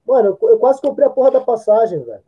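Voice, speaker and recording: A man's voice: very high-pitched, slightly rough. A man in his thirties co-hosting a casual video-call chat, easygoing and telling stories with slangy asides, from a home room. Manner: relaxed storyteller, drawn-out slang asides. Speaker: podcast co-host. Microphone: laptop or earphone mic on a video call. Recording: dull lo-fi video call audio.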